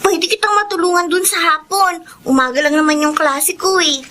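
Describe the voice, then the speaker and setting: A woman acting a caring, eager young schoolgirl, a gentle little sister, in a radio drama. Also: very high-pitched, steady tone, moderate pace. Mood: eager, helpful.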